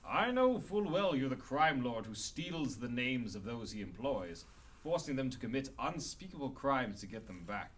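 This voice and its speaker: An actor playing a cocky, wry, pun-slinging crime-fighting hero with dramatic, self-assured delivery in a radio drama. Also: male voice, mid-range voice, sing-song, moderate pace, natural voice. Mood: stern, wary. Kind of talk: amateur radio drama acting. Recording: faint noise and ambience, slightly distant.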